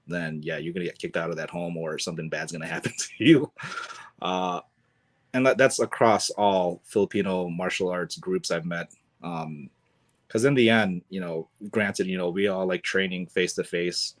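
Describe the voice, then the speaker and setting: A man speaking as a guest on a podcast, relaxed and chatty, leaning on lots of fillers. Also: sits low. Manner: relaxed, filler-heavy, sprinkled with "you know". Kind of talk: casual conversation.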